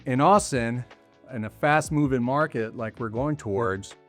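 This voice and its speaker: A middle-aged man chatting as a guest, explaining things in a relaxed way with many casual fillers. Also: mid-range voice, a little slow, soft background music. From raised partly in Costa Rica. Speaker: mortgage loan officer, guest. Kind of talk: casual interview chat.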